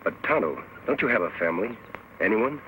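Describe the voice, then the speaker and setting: An actor playing a steadfast, commanding western hero in an old radio drama, speaking with earnest, deliberate authority. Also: male voice, on the low side, sing-song, pushed and bright. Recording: noisy old radio recording.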